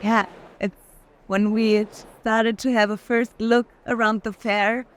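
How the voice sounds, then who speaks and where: A woman's voice: fairly high pitch, flat delivery, slow, a little hiss or hum. A woman acts as host and curator on a walking tour. She speaks in an effusively welcoming manner, addressing listeners and her guest in a conversational style.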